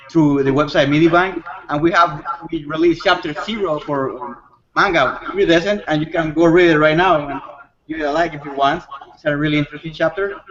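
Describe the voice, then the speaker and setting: A man's voice: on the high side, a bit bright, dull audio. A man chatting casually as a guest creator, easygoing and a little hesitant. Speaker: manga creator, panel guest. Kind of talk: casual chat.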